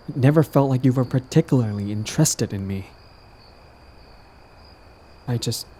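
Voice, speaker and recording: A man voices a persistent, lovestruck male villain in a romantic audio drama. His mid-pitched voice is soft-edged and dark, with a casual, teasing, smugly earnest delivery aimed straight at the listener. Faint cricket-like night noise sits behind him. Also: natural voice. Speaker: voice actor in romantic audio roleplay. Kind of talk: intimate scripted roleplay addressed to the listener.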